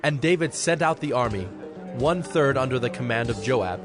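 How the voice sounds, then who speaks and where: A male narrator reads scripture in a medium-pitched voice. His delivery is solemn and measured, and music plays clearly underneath throughout. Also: animated.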